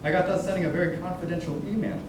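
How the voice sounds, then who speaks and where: A young man performs a teasing, glib businessman in a musical stage reading. He talks quickly in a fairly high voice. The recording is very echoey and distant. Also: natural voice. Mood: breezy, teasing. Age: young adult. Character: breezy, wisecracking businessman, the manager's old male friend. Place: large echoey room, recorded from far off. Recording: distant echoey room, loud rumbling noise.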